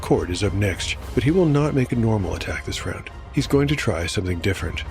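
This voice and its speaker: A man's voice: fairly low pitch, expressive, brisk, darkish tone. A man narrating his solo tabletop game, rolling dice aloud and musing on the results. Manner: rolls dice aloud, reflective asides. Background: music.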